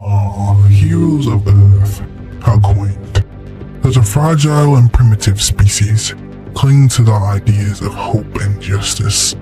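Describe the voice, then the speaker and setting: A man playing a cold, grandiose alien machine intellect out to conquer, speaking pompously and deliberately in an audio drama. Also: on the low side, music in the background. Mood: haughty contempt. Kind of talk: audio drama villain monologue.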